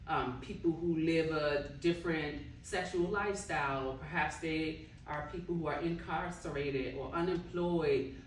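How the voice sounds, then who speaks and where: A woman's voice: fairly low pitch, a little hiss or hum. A woman author speaking conversationally to an audience about her novel, with fillers and laughter. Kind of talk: book talk with audience. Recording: echoey room, distant mic.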